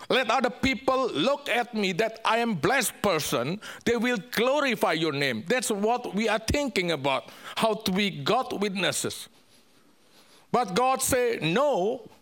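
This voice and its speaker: A man from Indonesia with an Indonesian accent, a missionary preacher addressing a church in halting, simple non-native English. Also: sits high, a bit bright. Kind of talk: church sermon and ministry update.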